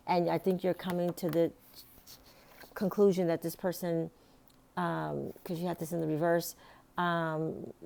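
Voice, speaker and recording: A woman with a raspy, hoarse voice giving a casual tarot reading, rambling with many fillers and restarts. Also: on the low side, dark tone. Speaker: tarot reader.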